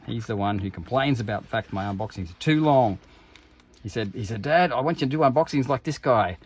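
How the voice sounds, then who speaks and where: A man's voice: on the low side, creaky, slightly rough. A middle-aged man giving casual, rambling unboxing commentary, reading passages aloud and joking at his own expense. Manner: rambling, self-deprecating, reads passages aloud. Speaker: YouTube unboxing host.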